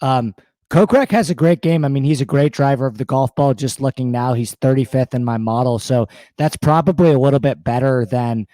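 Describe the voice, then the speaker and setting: A man with a slightly hoarse voice, eagerly talking stats and picks as a guest in a casual interview. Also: mid-range voice, a little fast, warm tone, no room sound. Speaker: guest sports betting analyst.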